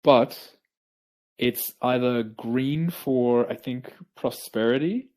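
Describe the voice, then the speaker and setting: A man interviewing a guest in a friendly, casual way, pausing often with ums and restarts. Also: medium pitch, darkish tone. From Peru, raised in Australia.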